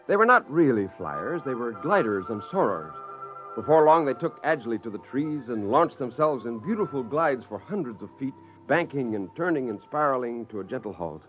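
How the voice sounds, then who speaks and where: An actor playing an excitable scientist father who narrates and acts in an old radio drama. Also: mid-range voice, natural voice. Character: excitable, gleeful scientist father narrating his secret creation. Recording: dull lo-fi old radio, music underneath.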